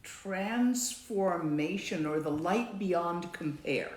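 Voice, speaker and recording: Slow-spoken woman teaching meditation. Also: lowish voice, animated, a little mellow, reverberant. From Latvian background. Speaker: Dzogchen teacher. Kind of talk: answering a student's question.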